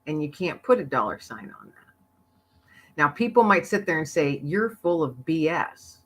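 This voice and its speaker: A woman's voice: low voice, moderate pace. A middle-aged woman, a podcaster and author, chatting as a guest and telling stories animatedly with frequent laughter. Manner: animated storyteller, laughs often. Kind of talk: casual interview chat.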